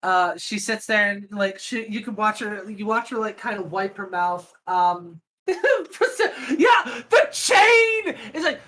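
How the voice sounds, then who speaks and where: Woman, game master, bright voice, slow pace, giggly, sweary, casual improvised narration. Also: medium pitch, expressive.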